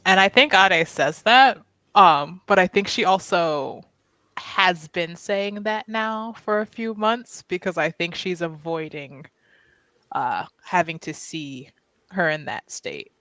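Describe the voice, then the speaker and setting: Lowish-voiced woman playing a tabletop game. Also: slow pace, pushed and bright, no echo. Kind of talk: casual in-game narration.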